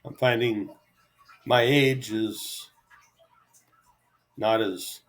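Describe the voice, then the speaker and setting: A middle-aged man rambling unscripted to his viewers as a solo vlogger, halting and easygoing, with self-deprecating asides. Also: lowish voice, steady tone.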